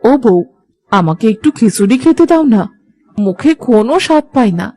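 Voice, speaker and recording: Elderly cartoon mother-in-law played by a woman: highish, husky, frail, gently accepting. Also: animated, normal speed, dry recording, clean studio sound. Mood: mild, coaxing. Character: frail, kindly old village mother-in-law. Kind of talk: Bengali moral-story cartoon dubbing.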